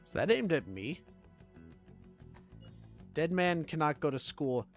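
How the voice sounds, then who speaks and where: A woman's voice: sits low, very animated, slow. A woman game streamer giving casual, wry commentary and talking back to the game. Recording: faint game music behind voice.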